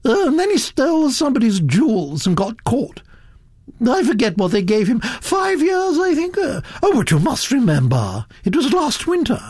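An elderly aristocratic Duchess, pitched high and swooping widely. She is autocratic and scornful, fussing and exclaiming as she hands down her verdicts. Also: wildly swooping pitch, normal speed, darkish tone, slightly rough, dry recording, studio quality, exaggerated voice. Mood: indignant, gossipy. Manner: autocratic, exclamatory, fussy hesitations.

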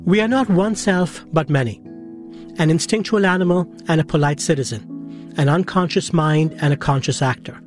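A man hosting a radio show, asking questions and narrating scripted passages in a calm, polished manner. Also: on the high side, over music. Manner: calm, measured, polished delivery. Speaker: radio host.

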